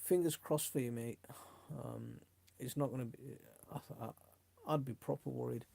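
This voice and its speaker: Gravelly, mellow Yorkshire man. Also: mid-range voice, fairly even intonation, moderate pace. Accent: Yorkshire English. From South Yorkshire, England. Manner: chummy, informal, rambling. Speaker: host of a gardening live stream. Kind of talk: casual live-stream chat, reading viewer comments.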